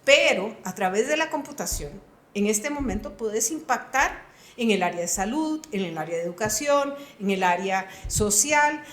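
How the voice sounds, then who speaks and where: A woman's voice: medium pitch, lively intonation, moderate pace, fairly bright tone, roomy sound. A woman in her sixties with a Costa Rican accent, a retired computing professor answering interview questions in an earnest, emphatic way. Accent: Costa Rican Spanish. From Costa Rica.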